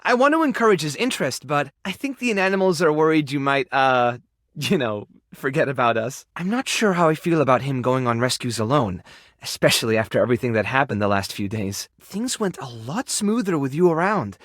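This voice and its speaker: A male actor in a dating video game playing an earnest, tender, awkward keeper of an animal rescue, sincere and prone to halting rambles. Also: mid-range voice, expressive, fairly bright tone, no echo, clean studio sound, lightly acted. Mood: earnest, hopeful. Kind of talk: video game character voice acting, dating simulator.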